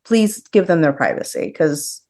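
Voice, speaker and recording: Casting: female podcast co-host, lowish register, loose conversational delivery, informal news chat. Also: lively intonation, moderate pace, darkish tone. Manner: conversational, peppered with "like". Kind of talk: casual chat about horror news.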